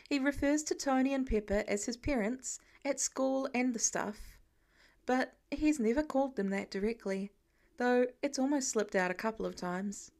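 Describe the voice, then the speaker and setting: Higher-voiced woman narrating a story. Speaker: audiobook narrator. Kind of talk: scripted fan fiction narration.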